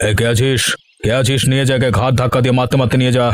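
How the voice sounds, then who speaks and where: A man's voice: mid-range voice, little pitch movement, rapid-fire, natural voice. A man voicing a scornful, bossy village master in a cartoon, speaking with brusque contempt. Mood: scornful, angry. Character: scornful, harsh village master dismissing a pleading woman. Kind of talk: cartoon voice acting.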